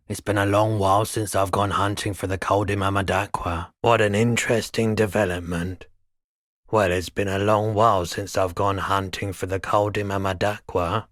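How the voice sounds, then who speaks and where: A man voicing a mild, wry, world-weary pawn shop keeper in a video game, soft-spoken, polite and reflective. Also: on the low side, dry recording, clean studio sound. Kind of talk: game character dialogue.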